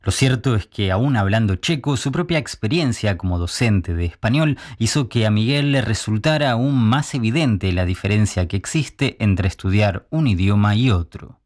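Low-voiced male reporter narrating. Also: expressive, darkish tone. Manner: smooth, measured storytelling. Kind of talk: scripted narration.

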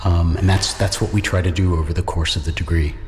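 A man who teaches Czech at a university, answering interview questions in a measured, reflective way with pauses. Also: deep voice, fairly even intonation, big-room sound, slight background noise. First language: English. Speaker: university lecturer in Czech studies.

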